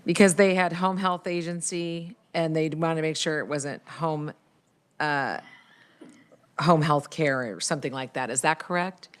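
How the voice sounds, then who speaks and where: A woman committee member speaks up with a loud voice, asking to clarify a point. She sounds apologetic. Her voice is low for a woman. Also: measured pace. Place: legislative committee room.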